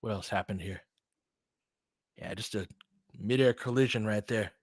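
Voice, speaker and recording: A man's voice: on the low side, moderate pace, breathy edge, no room sound. Man calling basketball, very dry close sound. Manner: partisan home-team fan, conversational asides. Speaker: play-by-play commentator and analyst. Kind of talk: informal live basketball commentary.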